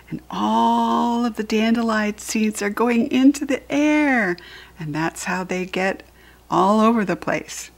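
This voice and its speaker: An American woman reads a children's story aloud at a very leisurely pace. Her voice is medium in pitch, soft and husky with plenty of breath in it. She speaks gently to young listeners, explaining as she goes. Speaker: children's book reader.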